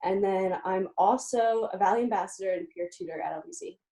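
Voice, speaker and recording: A young woman from Pennsylvania speaks as a guest on an interview show, her voice mellow and warm at a middling pitch. She talks eagerly and chattily, often pausing on "um" as she goes. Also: low-quality recording. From Lebanon, Pennsylvania, USA. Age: young adult. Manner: eager, chatty, with frequent "um" fillers. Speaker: guest, college student-athlete. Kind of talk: casual interview.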